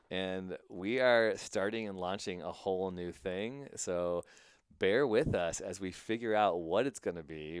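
A man with a Midwestern accent hosting a casual podcast chat, animated and excitable and quick to laugh. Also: sits low, clean tone, dry recording, studio quality. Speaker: podcast host.

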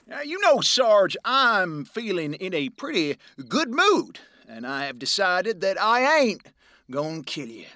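An actor playing a brash, slippery Confederate veteran turned robber, with folksy, excitable, rambling talk in a Western audio drama. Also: male voice, sits high, a little slow, no echo, studio quality, exaggerated voice. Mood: cocky.

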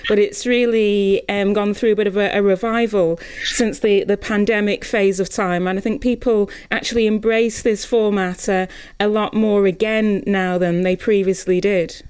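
A woman's voice: mid-range voice, fairly even intonation, moderate pace, darkish tone, smooth. A woman conducting a conversational radio interview in an encouraging, attentive manner.

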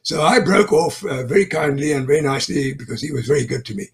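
A man's voice: a little high, expressive, quick pace. An older man from South Africa, a guest telling his business story in a chatty, rambling way with frequent chuckles and fillers. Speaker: guest, mortgage business owner. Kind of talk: casual interview chat.

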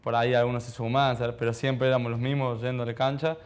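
A man of about twenty with an Argentine accent, giving modest, halting answers as a footballer in a casual interview. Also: medium pitch, flat delivery. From Argentina, childhood in Ecuador. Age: around 20. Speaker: professional footballer being interviewed.

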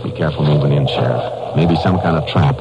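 An actor in a 1950s radio drama playing a steady, decisive Texas Ranger in a matter-of-fact manner. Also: male voice, extremely low pitch, fairly quick, lo-fi sound, over loud music. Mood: alert. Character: steady, commanding male Texas Ranger leading a manhunt. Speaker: lead actor and narrator. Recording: noisy old radio broadcast recording.

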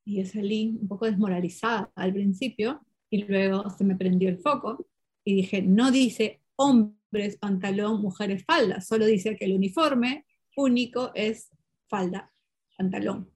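Woman interview guest, Portuguese speaker; lively storyteller full of fillers and self-corrections. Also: mid-range voice, a little slow, slightly rough. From Peru. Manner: lively, animated, many fillers and restarts. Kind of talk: casual conversational storytelling.

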